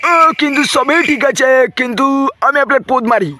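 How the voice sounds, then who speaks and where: A man voicing crude, excitable cartoon men in comic skits, swearing with exaggerated delivery. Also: extremely high pitch, fairly quick, background noise. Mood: bantering. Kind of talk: comic cartoon skit dubbing. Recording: loud music behind the voice. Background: music.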